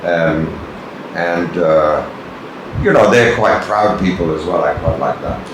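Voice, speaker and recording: Deep-voiced older Scottish man, rambling. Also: slow pace, far from the mic. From born in Kenya, raised in Tanzania. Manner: relaxed, rambling storyteller with many fillers. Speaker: interview guest. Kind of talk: casual interview chat. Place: office with large desk. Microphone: camera on desk tripod. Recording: echoey room with background noise.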